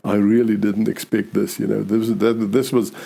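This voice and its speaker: A man's voice: on the low side, level tone, gravelly, dark tone. An older man from South Africa with an Afrikaans accent, a police chaplain talking reflectively in an interview, often restarting his sentences. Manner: halting, many restarts and "you know".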